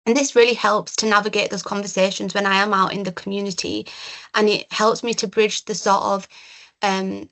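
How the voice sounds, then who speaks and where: A young woman whose first languages are Urdu and Punjabi, giving a measured slide talk as a community mental health worker, with frequent ums. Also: medium pitch, pushed and bright, rough recording. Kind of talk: presentation with slides.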